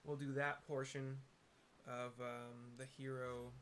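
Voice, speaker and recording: A man giving casual, improvised commentary on a game and describing the visuals, halting as he thinks aloud. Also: medium pitch, level tone, fairly bright tone, slight background noise. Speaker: gamer narrating a playthrough with visual descriptions. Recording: game music behind the voice. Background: video game music.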